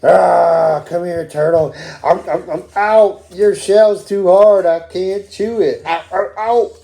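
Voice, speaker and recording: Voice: mid-range voice, slow pace, vocal fry, mellow. An amateur puppeteer voicing a crazy, hungry fox in a puppet show. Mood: aggressive, pained.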